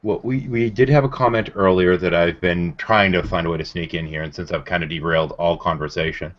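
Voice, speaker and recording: A man with a deep voice leads a casual group conversation. He tells things in a loose, rambling way. The audio is dull, like an internet call. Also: steady tone, brisk. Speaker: host of a group video call. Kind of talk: casual chat. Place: indoors at home on a group video call.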